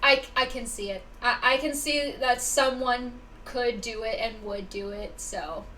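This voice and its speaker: Young lady streaming a game, high voice, takes her time, bit far from the mic, slight noise behind. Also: a little slow, pushed and bright. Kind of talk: casual livestream chat while gaming. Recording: distant-sounding mic, faint background noise.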